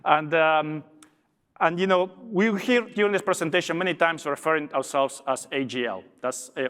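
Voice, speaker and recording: A man's voice: fairly high pitch, a bit bright. A man from Argentina with an Argentine Spanish accent, giving an upbeat conference presentation as a logistics director. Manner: upbeat, with small restarts. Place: large conference hall. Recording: long reverb of a big hall.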